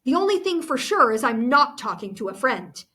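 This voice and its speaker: A woman's voice: high voice, very animated, normal speed, bright, forceful tone, slightly rough, pitched above the performer's own voice, exaggerated voice. A woman reading the part of a bitter, angry girl in a children's novel, guarded and accusing.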